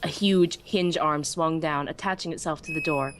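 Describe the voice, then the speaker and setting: A woman's voice: sits low, fairly even intonation, normal speed, background noise. A woman narrating a scripted first-person story dramatically, as in a fan audiobook.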